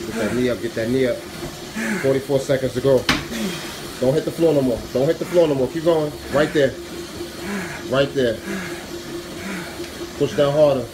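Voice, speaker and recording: A man's voice: mid-range voice, normal speed, long pauses, noisy background. A man coaching people through a machine workout in a small home gym, calling out short repeated commands in casual slang, recorded on a handheld phone. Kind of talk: coaching commands during exercise. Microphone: handheld phone camera.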